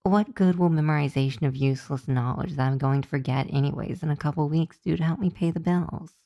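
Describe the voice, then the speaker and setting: Woman doing a teasing vampire college girl, asmr roleplay, mellow slow voice, very dry close sound, playful. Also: deep voice, no echo. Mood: teasing, argumentative. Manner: playful, teasing, drawn-out delivery with breathy asides.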